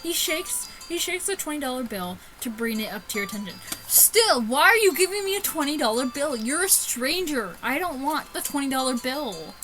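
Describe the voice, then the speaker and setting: A woman's voice: sits high, sing-song, fairly quick, hardly any pauses, a bit bright, poor audio. A woman giving casual, sweary let's play commentary and reading a visual novel aloud, giggly and stumbling over the text. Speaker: gaming YouTuber. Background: music behind the voice.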